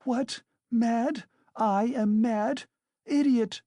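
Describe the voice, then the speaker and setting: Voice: medium pitch, sing-song, unhurried, no echo, clean studio sound, voice pushed up. A reader voicing a frantic, defiant widow who shrieks and accuses in hysterical fury, as an audiobook character.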